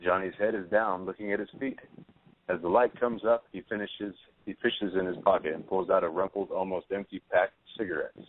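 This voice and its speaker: A man with a fairly low voice reads stage directions as scripted narration. The audio is narrow and tinny, like a phone line. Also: fairly even intonation, brisk.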